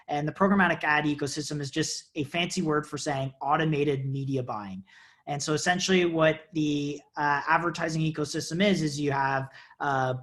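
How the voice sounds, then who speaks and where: A man's voice: fairly high pitch, fairly bright tone. Upbeat man explaining on a video call. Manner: enthusiastic, explains at length with many uhs. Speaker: guest answering questions. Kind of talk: casual video call interview. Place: indoor office with banner. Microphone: earphone headset on video call.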